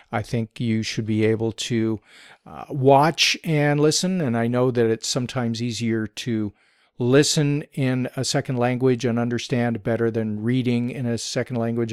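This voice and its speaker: A man co-hosting a tech podcast, talking at an ordinary middle pitch with a measured, explanatory manner. His voice sits dry and close to the mic, with no room sound around it. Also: darkish tone, dry recording. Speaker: podcast co-host. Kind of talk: casual tech podcast chat, reading listener email.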